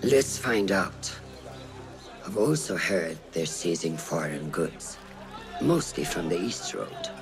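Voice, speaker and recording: An actor playing a stern, watchful assassin mentor in a video game, speaking gravely and deliberately. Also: male voice, low-pitched, expressive, over music, full character voice. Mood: grave, cautioning. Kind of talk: video game character acting.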